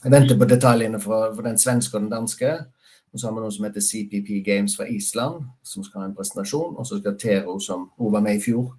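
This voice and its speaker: Low male host, relaxed and halting, running a casual online meetup. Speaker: user group host. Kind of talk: casual meeting talk.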